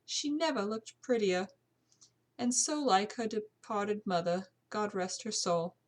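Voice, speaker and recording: A woman's voice: mid-range voice, unhurried, lightly acted. A woman voicing a proper, tearful older English housekeeper with a put-on British accent, in an audiobook-style story. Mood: tearful, earnest. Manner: proper, deferential, old-fashioned servant speech. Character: tearful prim elderly English housekeeper, loyal servant.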